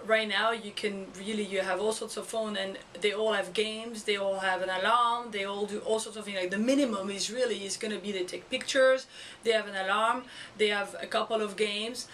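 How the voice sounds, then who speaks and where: A woman with a French accent, a school educator talking casually to her camera in a halting way, with many fillers and restarts. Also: medium pitch, steady tone, a bit bright, distant mic, a little hiss or hum, low-quality recording. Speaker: school educator and video blogger. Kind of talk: casual vlog talk to camera. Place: outdoors or home setting in Florida. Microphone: camera mic.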